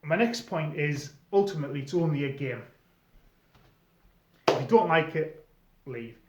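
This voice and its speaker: A middle-aged man giving informal advice to viewers in a conversational, emphatic way, often pausing mid-sentence. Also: a little high, animated. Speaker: experienced role player giving advice to newcomers. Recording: echoey room, slightly dull sound.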